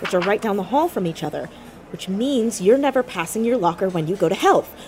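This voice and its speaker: A woman's voice: medium pitch, expressive, brisk, a crowd in the background, lightly acted. A young woman playing a blunt, sardonic, self-conscious teenage girl in a naturalistic audio drama, easily exasperated. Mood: inquisitive.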